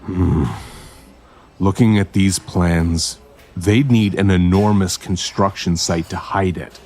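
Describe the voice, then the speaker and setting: An actor playing a grim, protective male investigator who talks tersely and deliberately in an audio drama. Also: low voice, darkish tone.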